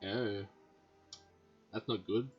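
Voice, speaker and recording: A man's voice: fairly low pitch, fairly even intonation, drawn-out words. A young man giving casual let's-play commentary on a muffled, lo-fi voice track. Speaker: gaming video creator. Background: faint game music.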